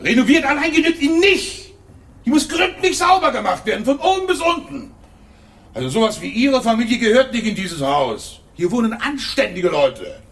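An actor playing a hostile, bigoted male building caretaker in a radio drama, gruff and contemptuous. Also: very high-pitched, big pitch swings, normal speed, full character voice. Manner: gruff, contemptuous, bursts into shouting.

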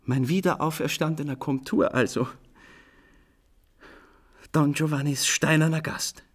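An actor in a German radio play playing an exuberant composer who tells of his own mishap in an animated, theatrical way. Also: on the high side, measured pace. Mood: mock horror. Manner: theatrical, animated, storytelling with shifting pace. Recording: faint music behind the voice.

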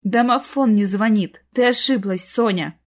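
Storybook mother, played by a woman: tired, sharp-tongued, suspicious, stern. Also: mid-range voice, unhurried, natural voice. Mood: weary irritation. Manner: stern, hissing, flustered. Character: weary, distrustful, scolding mother.

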